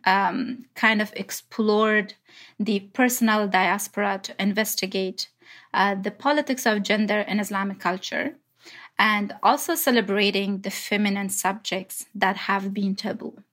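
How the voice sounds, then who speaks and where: A woman in her thirties from Afghanistan, an artist answering interview questions thoughtfully with many pauses. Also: medium pitch, steady tone, fairly bright tone.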